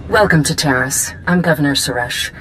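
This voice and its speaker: A woman's voice: low-pitched, normal speed, over music, natural voice, poor audio. An actress playing a formal, welcoming female planetary governor making an official announcement in a video game.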